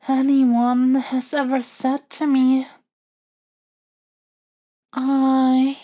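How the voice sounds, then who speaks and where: A woman's voice: high-pitched, warm tone. A woman playing a lovestruck young princess in a romantic fantasy audio roleplay, speaking in a flustered, stammering, earnest way. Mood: awed, flustered. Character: lovestruck young princess smitten with her dragon kidnapper.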